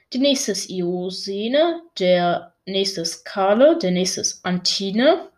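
Young female host, slow and unhurried, plain casual read of a list. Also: medium pitch. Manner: slow, plain reading, laughs at own pronunciation. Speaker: video host listing moons.

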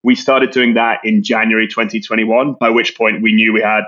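A Scottish man, a brand co-founder, talking quickly in a casual business interview and often repeating words as he restarts. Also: lowish voice, little pitch movement, pushed and bright, dull audio. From Scotland.

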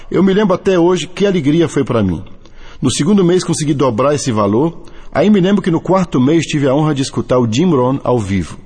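A middle-aged Brazilian man with a Brazilian Portuguese accent, reading a motivational testimony in a deliberate, earnest way. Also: mid-range voice, darkish tone, breathy edge. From Brazil (Bahia). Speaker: network marketing distributor. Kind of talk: scripted motivational testimony and read-out translation. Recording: long hall reverb.

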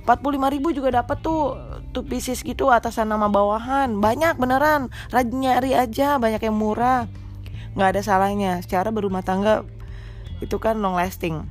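A woman's voice: fairly high pitch, steady tone, brisk, soft background music. Chatty woman with a stuffy nose. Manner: chatty, teasing, coaxing. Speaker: podcast host. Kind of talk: casual chat to mothers, mixing in English. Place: small rented room.

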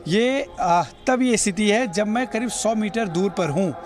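A man's voice: sits high, a little slow, music in the background. A man reporting news on location, explaining and pointing things out directly to viewers. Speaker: television news field reporter. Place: outdoors on city streets. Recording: noisy outdoor urban location with traffic.

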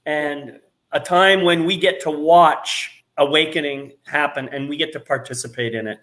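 A man's voice: a little high, fairly even intonation, moderate pace, a bit bright, low-quality recording. A man hosting a casual chat over a video call, upbeat and hesitant, restarting his sentences often. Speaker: host.

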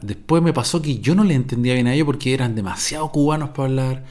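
A man's voice: mid-range voice, fast, a little mellow. Rambling Chilean man, slangy podcast monologue. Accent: Chilean Spanish. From Chile, Viña del Mar. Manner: rambling, digressive, heavy slang and swearing. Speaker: podcast host. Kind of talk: improvised casual monologue.

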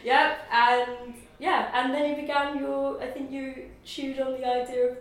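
A woman's voice: sits high, level tone, a little slow. A woman hosting a casual podcast interview, bubbly and chatty, laughing often. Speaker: podcast host. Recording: very echoey room.

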